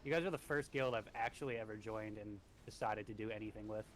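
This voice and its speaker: A man's voice: lowish voice, fast talker, fairly bright tone, slight background noise. A man in his twenties, streaming a game and leading a raid, talking in a rambling, easygoing way with lots of fillers. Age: 20s. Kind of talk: casual gaming chat and raid briefing.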